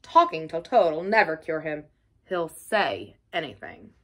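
A woman's voice: sits high, expressive, moderate pace. A woman voicing a sceptical male rat in a storybook, a loyal friend with a dry, knowing manner. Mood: contemptuous.

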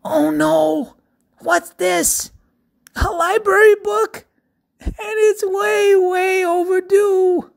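A woman's voice: very high voice, fairly even intonation, extremely slow, vocal fry, pauses often, voice pushed up, full character voice. A woman voicing an anxious young boy cat in a storybook read-aloud, wailing and overdramatic. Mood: panicked.

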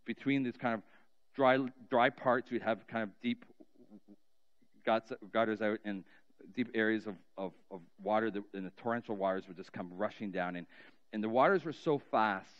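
A middle-aged man preaching as a pastor in a church sanctuary, telling a story earnestly and slowing down with soft, trailing endings. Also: fairly low pitch, muffled recording. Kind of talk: sermon storytelling and spoken prayer. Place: large church sanctuary, indoors. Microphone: cheek headset mic.

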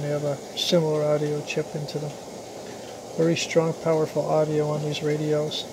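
An American man giving a casual, matter-of-fact product demonstration in short, plain-spoken phrases. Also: fairly high pitch. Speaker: radio hobbyist presenter. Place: small indoor room at a radio bench. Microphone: handheld camera or phone mic. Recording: clear steady background hiss and hum.

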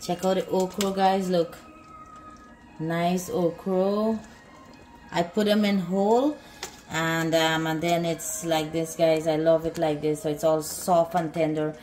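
A woman's voice: lowish voice, fairly even intonation, takes it slowly, darkish tone, roomy sound, slight background noise. A middle-aged woman chatting casually as a food vlog host, often repeating herself as she exclaims over the food. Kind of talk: casual cooking vlog chat. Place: home kitchen, indoors.

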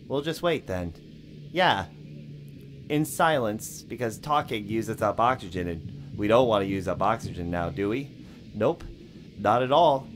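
A man playing an anxious, awkward young male mole in a comedic audio drama, hesitant and flustered. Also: on the low side. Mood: nervous.

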